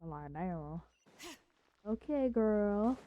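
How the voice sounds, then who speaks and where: A woman livestreaming a game with casual, playful, expressive commentary. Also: medium pitch, drawn-out words, no room sound, lo-fi sound.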